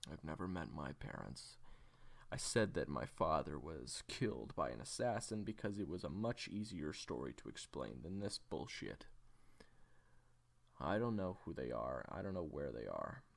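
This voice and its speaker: Guy doing haunted assassin for an audio drama, low voice, swings a lot, broody pauses. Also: very animated, full character voice. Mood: bitter, brooding. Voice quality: gravelly, low. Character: haunted, weary male assassin raised as a nameless experiment. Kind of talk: dramatic audio roleplay acting.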